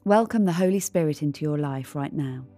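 A woman reading a scripted devotional in a measured, reflective manner. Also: low voice, animated, dark tone, dry recording, soft background music. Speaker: devotional reader.

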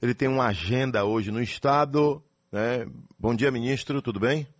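Radio host asking questions. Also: male voice, mid-range voice, moderate pace. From Bahia, Brazil. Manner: friendly, introduces guest in announcer phrasing.

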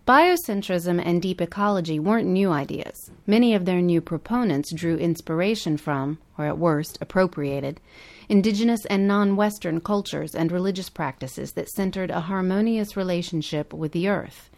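A woman co-hosting a podcast, reading scripted documentary-style narration. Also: on the low side. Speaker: podcast co-host.

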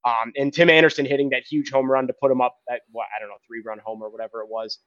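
A man recaps games in a rambling way, full of fillers, his sentences often fading off quietly. The recording sounds dry and a bit dull. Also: medium pitch, fairly even intonation, fast, dry recording. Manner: rambling with many "uh"s, trailing off quietly. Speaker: podcast co-host. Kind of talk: casual sports recap.

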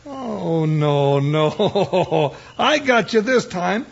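A good-hearted American cowboy sidekick in an old radio western. His voice sits high with a gravelly crackle, and he talks in a folksy drawl, on a muffled, noisy vintage recording. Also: very animated, very slow, heavily acted. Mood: puzzled, eager. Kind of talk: 1950s radio western acting.

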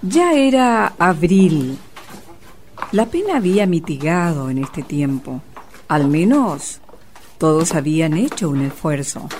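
Narradora pausada, de voz suave y expresiva. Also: female voice, deep voice, a little hiss or hum. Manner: measured, expressive storytelling. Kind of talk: dramatic scripted narration.

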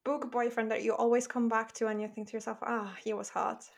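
A woman hosting a podcast and asking a guest questions in a relaxed, friendly way. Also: on the high side, fairly quick, vocal fry. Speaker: podcast host. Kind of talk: casual interview.

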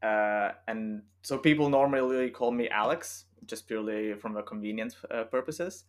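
A man with a Lithuanian accent talks as an author guest on a relaxed podcast. English is his second language, and he answers in a thoughtful, unhurried way, pausing to find his words. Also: lowish voice. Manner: thoughtful, unhurried, with frequent fillers and restarts. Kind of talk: casual podcast interview.

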